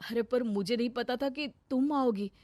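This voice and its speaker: A woman's voice: a little high, moderate pace, dark tone, dry recording, close to an everyday voice. A woman voicing an earnest, easily alarmed young village husband in a moral story. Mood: surprised.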